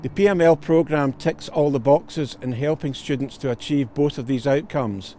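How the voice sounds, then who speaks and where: A man with a warm, dark tone reads out a prepared testimonial in the role of a solicitor and tutor. His phrasing is formal and careful, over a light layer of background noise. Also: a little high, normal speed. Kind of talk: scripted promotional testimonial.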